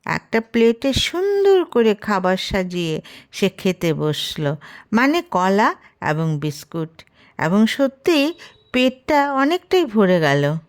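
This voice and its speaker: Slow, clear-voiced woman storyteller. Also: medium pitch, very animated. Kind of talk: scripted narration of a literary short story.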